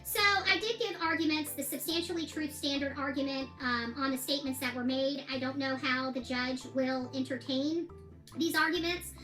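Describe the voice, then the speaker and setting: A woman's voice: high voice, steady tone, normal speed, pushed and bright. An American woman speaking casually and giving her opinions in a video diary, often repeating herself for emphasis. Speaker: self-represented litigant recounting her court case. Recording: echoey room with music behind.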